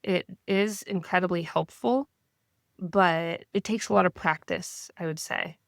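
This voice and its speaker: A woman in her thirties who is getting over a cold, speaking as a guest in an interview in a measured, reflective way. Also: fairly low pitch, fairly even intonation, vocal fry, no echo, studio quality. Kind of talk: interview conversation.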